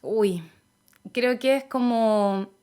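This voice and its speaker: A young woman with a Chilean accent speaks as an interview guest. She is thoughtful and measured, often hesitating with soft fillers while she gathers her ideas. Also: fairly high pitch, clean tone. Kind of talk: reflective conversational interview.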